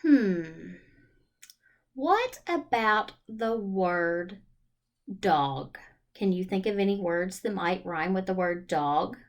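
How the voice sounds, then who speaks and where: A woman hosting a storytime for young children, talking in an encouraging, exaggerated child-directed way with questions and pauses. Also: on the low side, expressive.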